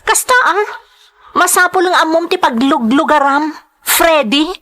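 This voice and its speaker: A woman's voice: sits high, unhurried, fairly bright tone. A woman playing a haughty, short-tempered young rich woman in an audio drama, with a scolding, imperious manner. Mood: irritated, bossy. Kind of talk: Ilocano audio drama acting.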